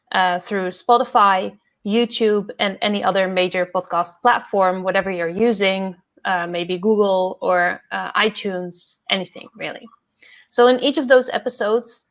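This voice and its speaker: A young woman from the Netherlands, a PhD fellow and podcast host, talking earnestly about her career path with many pauses and fillers over a video call. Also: mid-range voice.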